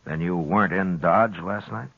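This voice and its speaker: An extremely low-voiced frontier marshal, gruff and steady. His questions come measured and probing, with a husky edge. The sound is a dull, lo-fi 1950s radio western. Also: no echo. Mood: questioning. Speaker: marshal character. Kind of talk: old-time radio western acting.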